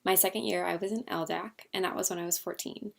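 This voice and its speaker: Woman, 22, student; heavy vocal fry, low pitch. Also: steady tone, quick pace. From United States. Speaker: university student. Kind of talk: casual self-introduction.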